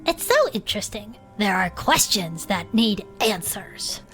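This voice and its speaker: A woman's voice: medium pitch, big pitch swings, a little slow, a bit bright, faint music behind. A woman playing an earnest, matter-of-fact former adventurer and cryptid-loving sidekick in chatty audio drama banter. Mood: intrigued.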